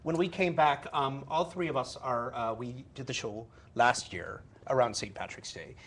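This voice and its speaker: A man's voice: mid-range voice, normal speed, a bit bright. A man, a stage actor, chatting playfully and expressively in an interview with a put-on Irish accent. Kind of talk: improvised casual interview. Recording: clear background noise, live stream.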